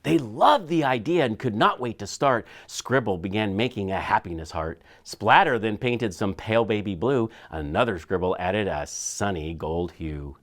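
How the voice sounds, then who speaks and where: Animated man reading a children's story. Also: fairly low pitch, normal speed, hardly any pauses. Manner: animated storytelling with dramatic shifts. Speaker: school superintendent reading aloud. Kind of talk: expressive children's picture book read-aloud.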